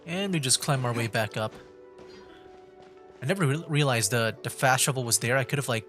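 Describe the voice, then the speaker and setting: A man's voice: mid-range voice, fairly quick, fairly bright tone. Male game streamer, mid-range voice, off-the-cuff laid-back commentary, faint music underneath. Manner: laid-back, rambling, thinking aloud. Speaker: livestreamer playing a video game. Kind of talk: casual gameplay commentary.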